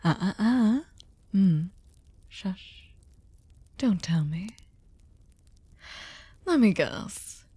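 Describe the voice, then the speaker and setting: A woman's voice: medium pitch, animated, very slow, long pauses, dark tone, slightly rough, dry recording, heavily acted. A woman playing a sadistic, seductive aristocratic vampire in an ASMR audio drama, teasing and mock-polite, often slipping into a whisper. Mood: mocking, amused.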